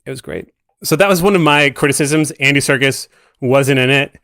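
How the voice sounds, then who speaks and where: Male podcast guest, mid-pitched, relaxed conversational film talk, very dry recording. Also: normal speed, no room sound. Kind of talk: casual film discussion chat.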